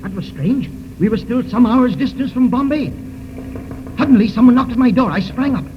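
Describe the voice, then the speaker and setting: High-voiced 1930s radio detective. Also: male voice, expressive, moderate pace. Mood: urgent, excited. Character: tense, resolute male detective recounting his case. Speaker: narrator and lead actor of a radio mystery serial. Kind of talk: 1930s radio drama first-person narration. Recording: muffled, noisy 1930s radio recording.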